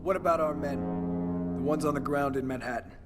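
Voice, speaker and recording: A man playing a grim, calculating military officer in a video game, measured and grave. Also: a little high, lightly acted. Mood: grim, questioning. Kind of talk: video game cutscene acting. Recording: loud music behind the voice.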